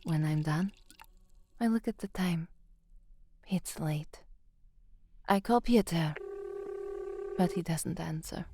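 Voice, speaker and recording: An actress playing a young newsreader in a science fiction audio drama, weary and anxious. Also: female voice, lowish voice, slow, pauses often, warm tone, slightly rough, full character voice. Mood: weary, introspective. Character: young slum-born newsreader, anxious, weary, hard-drinking narrator. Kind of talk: audio drama first-person narration and newsreading.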